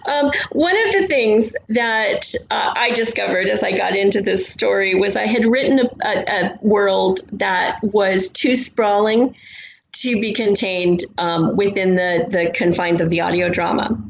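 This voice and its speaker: A woman's voice: mid-range voice, a little slow, vocal fry. Roomy-sounding woman, reflective interview guest. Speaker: audio drama writer and author guest. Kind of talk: casual interview chat. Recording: dull, roomy sound with faint noise.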